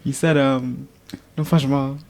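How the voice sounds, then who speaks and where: A man's voice: medium pitch, fairly even intonation, moderate pace, a little mellow, dry recording. Stammering male podcast host, dry sound. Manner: stammering, repeats words while asking. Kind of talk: interview questions.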